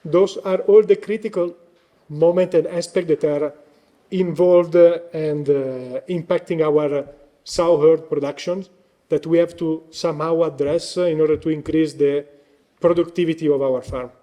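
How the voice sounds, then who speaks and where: A man's voice: a little high, fairly even intonation, moderate pace, dark tone. A man with Italian as his first language, giving a conference presentation as guest speaker while losing his voice, hesitant with frequent fillers.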